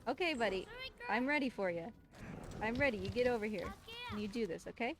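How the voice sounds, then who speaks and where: A woman with a somewhat high voice gives casual live commentary as she plays a game. She speaks in a playful, exasperated way, as if scolding a wayward companion. Clear game ambience and noise sit behind her voice. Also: animated, brisk. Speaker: speedrunner streaming live for a charity marathon.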